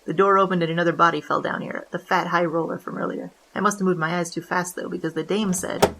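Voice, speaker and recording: A wry hardboiled bandit voiced by a woman tells a noir tale at a rapid clip. The voice sits a little low, deadpan and slangy, in radio-play narration. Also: vocal fry, background noise.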